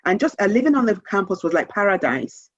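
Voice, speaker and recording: Female author, lower-pitched voice, chatting in an interview; close, dry, slightly lo-fi recording. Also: sing-song, fast, breathy edge, no room sound. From Nigeria. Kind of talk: conversational interview answers.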